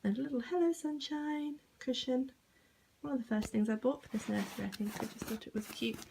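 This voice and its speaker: A woman's voice: high-pitched, brisk, vocal fry, noisy background. A young British woman giving a casual, self-deprecating home tour in an unpolished, informal way. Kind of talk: casual informal chat to camera.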